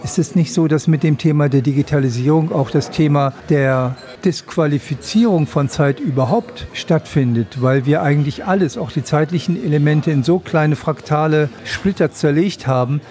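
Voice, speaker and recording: A man's voice: a little high, moderate pace, few pauses, warm tone. A man, interviewing a guest for radio with long, elaborate questions. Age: 60s.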